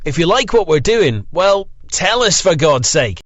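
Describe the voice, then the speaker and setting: A man with a high voice delivers a theatrical, grandiose announcement. He takes it slowly and deliberately, in a roomy recording. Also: very animated, fairly bright tone. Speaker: announcer of show outro. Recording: roomy, slightly dull lo-fi recording.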